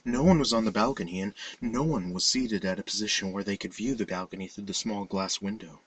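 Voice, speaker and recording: A man reading a scripted horror story as narrator, in a measured, dramatic storytelling manner. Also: fairly low pitch, slightly rough, no echo, far from the mic, rough recording. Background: music.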